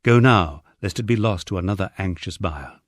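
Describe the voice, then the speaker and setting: A man's voice: sits low, expressive, moderate pace, no echo, studio quality. A man voicing a conspiratorial, self-important French rural estate manager in an audiobook, speaking formally and with patient condescension. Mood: urgent.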